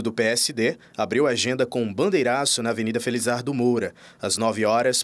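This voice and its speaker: A man reads a news voice-over at a mid pitch. He goes through each item steadily and evenly, in a plain broadcast delivery. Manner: steady list-reading. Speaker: news narrator.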